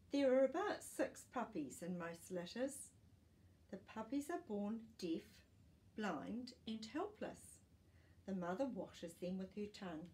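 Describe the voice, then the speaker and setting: A woman's voice: mid-range voice, warm tone, a little hiss or hum. A woman in her sixties reads a children's fact book aloud as a storytime host, speaking carefully and simply for young listeners. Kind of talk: reading a children's non-fiction picture book aloud. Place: small living room, indoors. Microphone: camera a short distance away.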